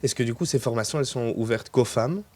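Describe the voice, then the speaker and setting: A man's voice: mid-range voice, quick pace, darkish tone. A man hosting an interview, asking long questions in several parts with some hesitation. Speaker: interviewer, host.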